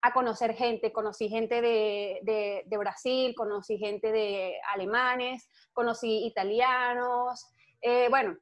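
A woman's voice: a little high, slow. Outgoing young Venezuelan woman, lo-fi. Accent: Venezuelan Spanish. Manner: outgoing, animated storytelling, laughs easily. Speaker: guest. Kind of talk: casual interview chat. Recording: dull lo-fi sound.

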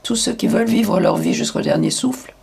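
An older woman giving frank, hesitant interview answers, with many pauses and fillers. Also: a little high, wildly swooping pitch, creaky, a little mellow, slightly rough.

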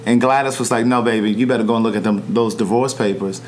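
A man hosting a casual conversation, telling stories with lively quoted voices. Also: fairly low pitch, fast talker, slight background noise. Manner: animated storyteller, acts out quoted voices. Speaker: podcast host.

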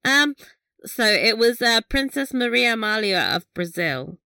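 Bright, giggly woman podcast host. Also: on the high side, very animated, unhurried, vocal fry, no room sound. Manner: chatty, giggly, swears casually. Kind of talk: casual recap chat.

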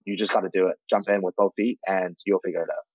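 A man in his late twenties from China, a guest entrepreneur telling his story in relaxed, candid casual chat. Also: low voice, fast talker, slightly rough, dry recording, highs cut off.

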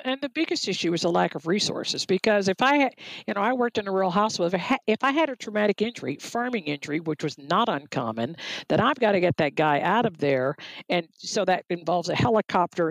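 A man's voice: sits high, creaky, a bit bright, no room sound, poor audio. A man co-hosting a podcast, speaking earnestly and often repeating a word as he starts a sentence. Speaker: podcast co-host. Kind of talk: casual podcast talk.

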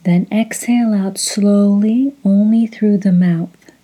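A woman guides a meditation at a slow pace. Her voice is very dark and mellow, soft and breathy. She gives measured instructions and leaves long pauses between phrases. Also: medium pitch, steady tone.

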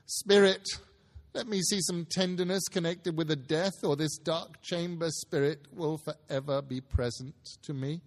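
A man playing a frightened, repentant miser in a dramatic story reading, pleading and halting. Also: fairly high pitch, steady tone, pitched above the performer's own voice. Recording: reverberant hall, live stage reading.

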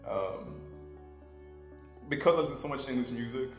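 A man, a singer being interviewed, talking in a relaxed, chatty way with frequent laughs and repeated words. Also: medium pitch, moderate pace, roomy sound, faint music behind. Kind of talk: casual interview talk.

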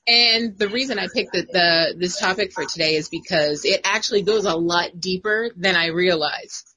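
A woman co-hosting a podcast in casual chat, agreeable and quick to affirm. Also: lowish voice, pushed and bright, breathy edge, low-quality recording. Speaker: podcast co-host.

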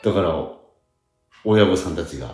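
A middle-aged man hosting a radio show, chatting casually and telling things in halting pieces. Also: low-pitched, animated, a little mellow, echoey room, soft background music. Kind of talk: casual chat. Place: small tatami dressing room at a theatre.

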